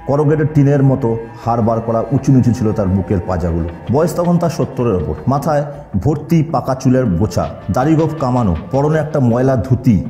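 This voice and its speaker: A man's voice: medium pitch, dark tone, roomy sound. A man narrating a scripted horror audio story in a dramatic style. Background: music.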